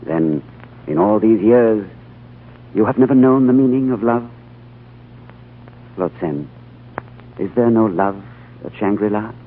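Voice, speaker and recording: A man's voice: lowish voice, a little slow, long pauses, a little hiss or hum, close to an everyday voice. An actor playing an earnest, thoughtful younger man who narrates an adventure in 1940s radio drama style, with a dramatic, reverent delivery. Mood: tender, questioning. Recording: tinny 1940s radio recording with music.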